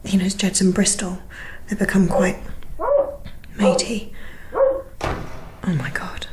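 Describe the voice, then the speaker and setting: A woman playing an anxious, secretive, grieving young wife in a naturalistic radio thriller, hushed and halting as she confides. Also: mid-range voice, flat delivery, background noise, lightly acted. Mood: fearful. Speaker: actor in an audio drama.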